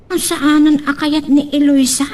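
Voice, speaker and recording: A woman playing an excitable, nosy housemaid in an Ilocano radio drama, exclaiming and gossiping. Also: sits high, measured pace. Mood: gossipy, concerned.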